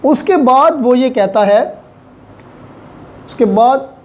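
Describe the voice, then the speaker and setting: Pakistani guy teaching law, really slow, mellow voice, keeps rewording stuff with english terms mixed in. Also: very high voice, lively intonation, lo-fi sound. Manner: repeats and rephrases points, mixing in English terms. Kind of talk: explanatory lecture.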